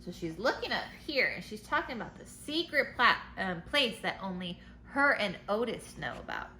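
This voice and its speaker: A woman teacher reading a storybook aloud to children, pausing to explain words and pictures in simple terms. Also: mid-range voice, big pitch swings, bright, forceful tone. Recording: noticeable background noise.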